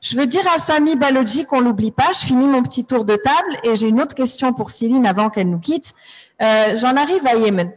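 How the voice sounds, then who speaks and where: A woman's voice: a little high, a little fast, poor audio. A woman moderating a panel, addressing guests in turn and quoting their words back to them.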